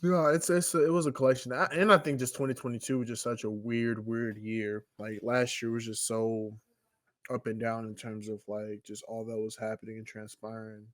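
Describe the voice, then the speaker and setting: A man's voice: lowish voice, flat delivery, normal speed, no room sound. A man in his twenties co-hosting a podcast, talking loosely and rambling in casual chat. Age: 20s. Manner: loose, rambling, leans on "you know what I'm saying". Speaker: podcast co-host.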